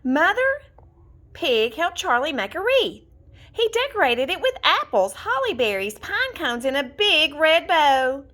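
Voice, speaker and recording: A woman reading a children's storybook aloud in an expressive, deliberate storytelling manner. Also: high voice. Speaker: storybook reader. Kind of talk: scripted children's story narration, read aloud.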